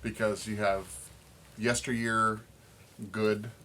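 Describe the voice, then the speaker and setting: Male podcast co-host, casual banter, forceful bright tone on the low side, some room noise behind. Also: flat delivery, slow pace. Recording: noticeable background noise.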